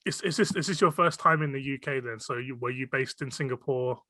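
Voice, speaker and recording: A man in his twenties hosts a relaxed podcast chat. His was Cantonese. His voice is bright and forward, and it sounds dry and close to the mic. He laughs often and stumbles over repeated words as he goes. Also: mid-range voice, fairly quick, no echo. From United Kingdom. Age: 20s. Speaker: podcast host. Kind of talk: casual interview chat.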